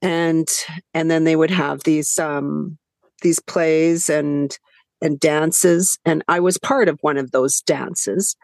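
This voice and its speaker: Low, gravelly woman with Hungarian roots. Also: steady tone, slow, a little mellow, dry recording. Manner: chatty, laughs often, many fillers and restarts. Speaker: guest author. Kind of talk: conversational storytelling.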